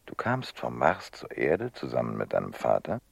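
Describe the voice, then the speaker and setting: An actor playing an intense, insistent mind-prober in a German radio play, coaxing in a gravelly, husky voice. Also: male voice, extremely low pitch, huge pitch swings, moderate pace, dry recording, heavily acted.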